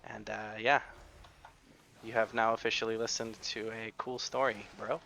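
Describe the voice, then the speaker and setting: A man's voice: fairly low pitch, level tone, moderate pace, gravelly, bright, forceful tone, a little hiss or hum. A man with an American accent giving chatty, rambling gameplay commentary and often correcting himself. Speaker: gaming YouTuber.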